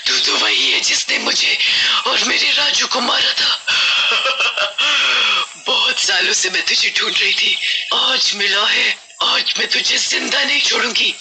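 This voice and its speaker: A man's voice: high-pitched, lively intonation, slow, loud music behind the voice, pitched above the performer's own voice, full character voice, low-quality recording. A man voicing a grieving female witch ghost, motherly and vengeful, with dramatic delivery for a cartoon horror story. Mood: furious, vengeful.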